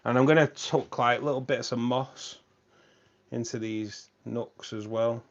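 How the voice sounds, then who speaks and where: A man's voice: medium pitch, steady tone, a little slow, highs cut off. A man hosting a live stream from a room with fish tanks, chatting casually and rambling, often repeating himself.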